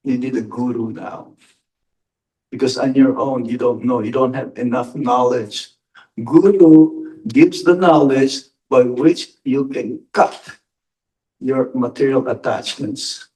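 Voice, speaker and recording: A man speaks as a monk in a question-and-answer lecture, slow and deliberate, stressing his key words. His voice sits at a middle pitch and is caught by a distant mic in a hall. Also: steady tone, warm tone. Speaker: monk giving a spiritual talk.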